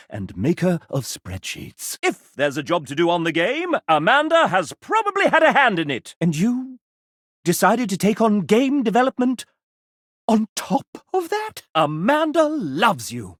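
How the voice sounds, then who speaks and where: Cheeky British male game-show host, flamboyant, extremely animated pitch, dry close recording, arch video game character. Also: dry recording, full character voice. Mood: grand, cheeky. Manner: flamboyant, arch, grandly enunciated showman patter.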